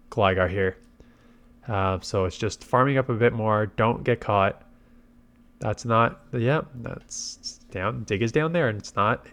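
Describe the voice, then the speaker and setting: A man congested with a head cold gives casual gameplay commentary, thinking aloud through his tactics. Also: fairly low pitch. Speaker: game commentator and video host.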